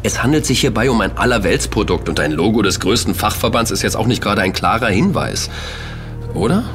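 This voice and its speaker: An actor playing an affable, inquisitive young student in an audio drama, talking things through conversationally. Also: male voice, on the low side, animated, a little fast, fairly bright tone, faint music behind. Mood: puzzled. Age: young adult. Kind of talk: German audio drama dialogue.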